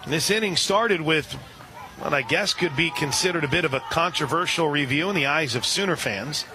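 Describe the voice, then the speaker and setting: A man calling a softball game live on radio, steady between pitches and surging loud and high on big plays. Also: moderate pace, low-quality recording. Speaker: radio play-by-play announcer.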